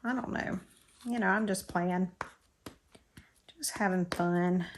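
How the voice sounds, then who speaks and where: A woman's voice: on the low side, takes it slowly, noisy background. A middle-aged woman from Florida with a South Georgia drawl, chatting and rambling at her craft table. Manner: rambling, self-deprecating, talks while crafting. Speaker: crafting channel host. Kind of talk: casual crafting chat.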